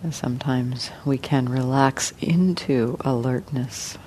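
A woman meditation teacher leading a guided meditation, speaking slowly and gently with long pauses. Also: a bass voice, a little hiss or hum.

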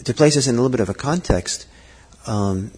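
A middle-aged man giving a Buddhist dharma talk, thoughtful and halting, with frequent fillers and restarts. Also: medium pitch, animated, a little mellow, breathy edge. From Norway. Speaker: Buddhist teacher. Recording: long hall reverb.

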